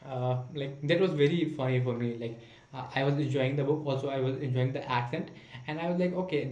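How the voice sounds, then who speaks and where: A man's voice: medium pitch, darkish tone, muffled recording. A young man from India, speaking English as an in an unscripted monologue to camera, full of fillers and restarts. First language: not English. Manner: hesitant, many fillers and restarts. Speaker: vlogger practicing spoken English. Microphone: camera mic. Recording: echoey room.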